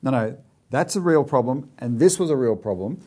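A man's voice: mid-range voice, animated, moderate pace, dark tone, slightly rough. An Australian man co-hosting a political talk show, discussing current affairs in a conversational way with many restarts. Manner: conversational with frequent fillers and restarts.

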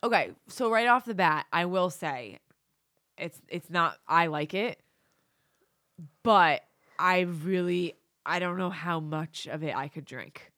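A woman's voice: on the low side, lively intonation, takes it slowly, fairly bright tone, dry recording, clean studio sound. A woman with a stuffy nose from a cold, chatting casually as a co-host with a hesitant, filler-heavy way of talking. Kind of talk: casual chat.

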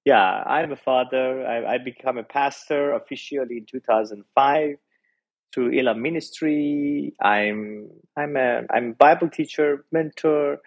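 Man in his forties, persian accent, husky, earnest and reflective, halting delivery, interview guest. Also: mid-range voice, lo-fi sound. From Iran. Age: 40s. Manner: earnest and reflective, with frequent hesitations. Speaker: pastor, podcast guest. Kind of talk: personal testimony in an interview.

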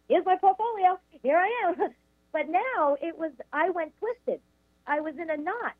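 High-voiced New York woman on the phone. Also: no room sound. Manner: chatty, with frequent ums. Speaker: guest. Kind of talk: telling her life story. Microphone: phone call. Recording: tinny phone line.

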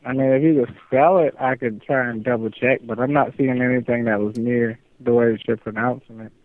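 A narrow, muffled phone line carries a man's mid-pitched voice. He is a store employee taking a customer call, speaking plainly and patiently. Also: steady tone, fairly quick, dry recording.

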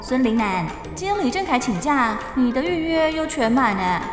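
A woman's voice: high voice, big pitch swings, moderate pace. An actress playing a chatty young female clinic colleague in a radio drama, with a Taiwanese Mandarin accent. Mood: concerned. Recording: echoey, long reverb, music behind.

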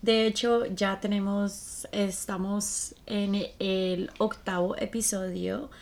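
A woman's voice: mid-range voice, steady tone, takes it slowly, gravelly, a little mellow. A woman in her late twenties from Colombia, with a Colombian accent, talking casually as a podcast co-host.

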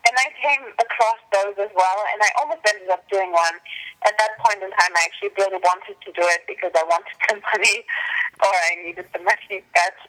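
A German-native woman speaks in long, earnest sentences, sensitive and reflective, often pausing on fillers. Her tone is bright and forceful. The sound comes through a phone line. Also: mid-range voice. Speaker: actress and tutor, interview guest. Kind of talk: conversational interview answers.

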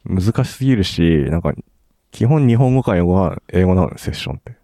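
A man with a low, mellow voice talks casually and quickly. His pitch moves around a lot, and he slips between slangy and polite phrasing. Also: animated, dry recording, studio quality. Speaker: podcast speaker. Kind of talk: casual chat.

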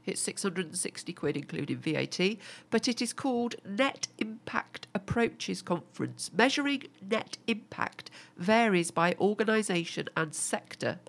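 A woman's voice: mid-range voice, expressive, normal speed. A woman with a cold, sounding bunged up, chatting as co-host and reading through event listings. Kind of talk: casual business podcast chat.

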